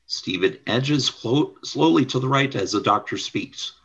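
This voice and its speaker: A man's voice: medium pitch, lively intonation, a little slow, fairly bright tone, husky, lo-fi sound. A man reading scripted scene narration for a radio play. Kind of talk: scripted narration of stage directions.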